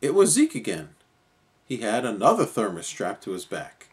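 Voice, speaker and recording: A man's voice: on the low side, wildly swooping pitch, moderate pace. A man narrating a children's story expressively as a storyteller.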